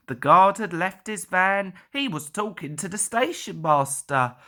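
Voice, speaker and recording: Slow, animated female storyteller. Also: mid-range voice. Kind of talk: scripted children's story narration.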